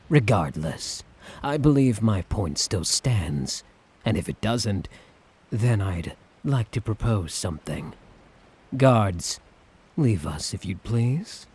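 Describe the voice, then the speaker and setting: A man playing a suave, sadistic tyrant in an ASMR roleplay, teasing and condescendingly affectionate, with a breathy, husky voice. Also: lowish voice, a little mellow, heavily acted. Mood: playfully affectionate. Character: suave, sadistic, doting male tyrant, obsessively in love.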